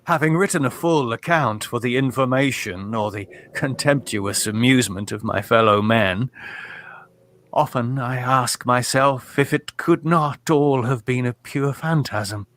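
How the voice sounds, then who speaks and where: An actor playing a tormented, doomed narrator in a horror audio drama, with formal, theatrical delivery. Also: male voice, medium pitch, a little slow, a bit bright, lightly acted. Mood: bleak, resigned. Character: tormented male narrator of a horror tale, morphine addict, doomed.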